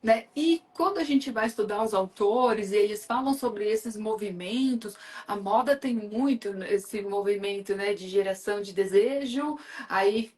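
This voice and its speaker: A woman's voice: mid-range voice, unhurried, darkish tone. A woman from Brazil hosting a live stream, talking in a chatty, enthusiastic way with lots of fillers. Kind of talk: casual live chat.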